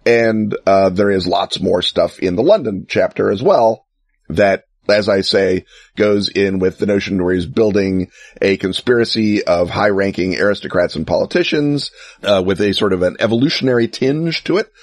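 Dry-sounding man rambling excitedly. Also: on the low side, moderate pace, dry recording. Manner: enthusiastic, wry asides, many fillers. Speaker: podcast co-host. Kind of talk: casual explanatory chat.

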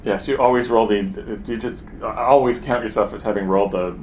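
A man with a low voice chats casually as a podcast co-host. He is dry and a bit hesitant, with frequent uhs. A faint hiss sits under the hotel-room recording. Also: steady tone, normal speed, a little mellow, low-quality recording. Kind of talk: casual chat.

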